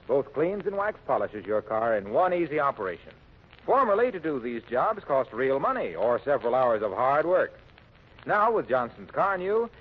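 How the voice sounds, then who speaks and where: A man's voice: mid-range voice, slightly rough. An American man announcing and selling in polished 1940s radio style. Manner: polished salesman delivery. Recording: muffled, narrow-band 1940s radio recording.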